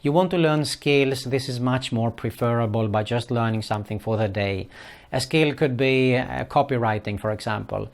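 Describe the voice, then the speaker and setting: A man's voice: medium pitch, moderate pace, creaky. A man from Sweden, speaking English as a later language, giving casual advice to viewers with many hesitant fillers and non-native phrasing. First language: Swedish. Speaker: online marketing vlogger. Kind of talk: casual advice talk to camera.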